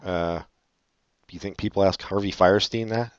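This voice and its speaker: Male co-host, sarcastic and profane, low voice, dull dry recording. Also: steady tone, a little slow, clean tone, no echo. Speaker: podcast co-host. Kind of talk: casual chat, reading advice letters.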